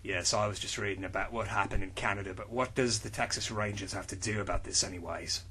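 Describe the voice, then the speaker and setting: A man voicing a gruff, brooding male lawman in a deep, husky put-on voice for a comic fan fiction reading. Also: fast talker. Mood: gruff deadpan. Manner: deadpan, dragged-out reading of stilted lines.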